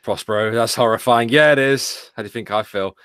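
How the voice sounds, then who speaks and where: A man's voice: mid-range voice, a little fast, fairly bright tone, smooth, dry recording, studio quality. A man co-hosting a casual stream, joking and reading the chat aloud. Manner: jokey, reads chat aloud. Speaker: stream co-host.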